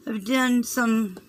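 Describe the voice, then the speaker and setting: A hoarse woman with a Southern US accent, rambling through a craft tutorial and often clearing her throat as she fights a cold. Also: on the high side, slight background noise. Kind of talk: chatty loom tutorial.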